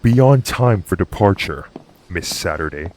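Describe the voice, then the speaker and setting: A man's voice: low-pitched, expressive, a little slow, a little mellow, a little hiss or hum, rough recording. A man acting a stern, brusque superior in an audio roleplay, with a curt, commanding manner.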